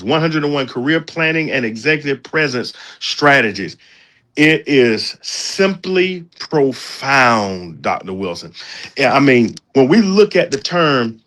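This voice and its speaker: A man's voice: mid-range voice, a bit bright. A man hosting an interview, enthusiastic and praising, often repeating words as he starts his sentences. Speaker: podcast host, preacher.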